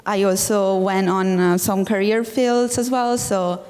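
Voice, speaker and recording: Halting young woman from Italy. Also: mid-range voice, flat delivery, darkish tone, clean tone. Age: 20s. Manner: halting, searching for words. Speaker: student panelist. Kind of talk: panel discussion. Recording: long hall reverb.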